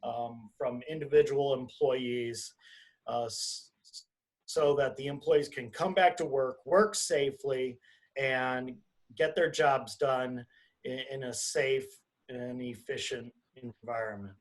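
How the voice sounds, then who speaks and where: A man giving an explanatory webinar presentation as an attorney, speaking deliberately and emphatically in short phrases over a video call. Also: mid-range voice, steady tone. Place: indoors, on a video conference call.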